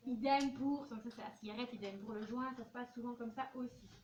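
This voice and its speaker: A woman's voice: on the high side, fairly quick, darkish tone, highs cut off. A woman teaching a school class, talking to pupils in an informal, chatty way and acting out little examples. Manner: informal, chatty, role-plays examples of what teenagers say. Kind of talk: classroom lesson, addressing pupils. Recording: noisy echoey classroom, distant microphone.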